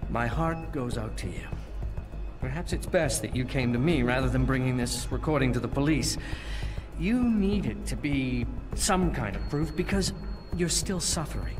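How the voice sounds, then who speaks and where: A man playing a smooth, patronizing doctor turned politician in a dramatic video game, speaking in measured, condescending rhetoric. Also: mid-range voice, animated, close to an everyday voice. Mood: defensive, condescending. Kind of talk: dramatic video game acting, public oratory. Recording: long hall reverb, music underneath.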